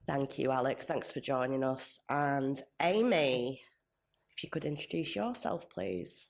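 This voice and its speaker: A woman's voice: a bass voice, a little slow, a little mellow. A woman in her thirties with a cold, chairing a conference panel in a friendly, chatty way, with many ums. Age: 30s. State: has a cold, sniffly. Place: conference venue.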